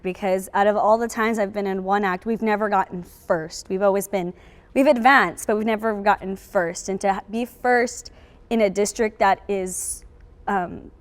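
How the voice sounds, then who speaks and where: Young female interviewee, mid-pitched, earnest and emphatic, recorded with long room reverb. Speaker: high school drama cast member. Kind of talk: reflective interview answers.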